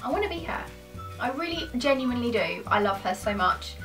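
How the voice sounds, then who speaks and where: A woman's voice: fairly high pitch, sing-song, brisk, fairly bright tone, far from the mic, faint music behind, low-quality recording. A British woman in her twenties chatting casually to camera in an informal, self-deprecating, rambling way. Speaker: YouTuber answering questions to camera. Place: small furnished room at home, indoors.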